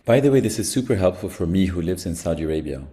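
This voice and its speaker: A man with a Lebanese accent hosts an online workshop over a video call. His voice is low and warm, and his remarks come quick and upbeat. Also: lively intonation. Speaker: workshop host.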